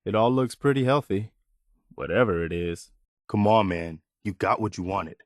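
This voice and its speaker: An actor playing a principled, loyal and weary young militia soldier in a video game, speaking sincerely and plainly. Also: male voice, on the low side, moderate pace, dry recording, studio quality, close to an everyday voice. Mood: firm, pleading. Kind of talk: video game companion dialogue.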